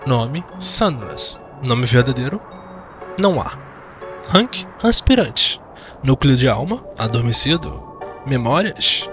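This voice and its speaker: A man's voice: mid-range voice, sing-song, slow, fairly bright tone. A man with a Brazilian accent giving dramatic scripted narration of a fantasy audio drama. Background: background music.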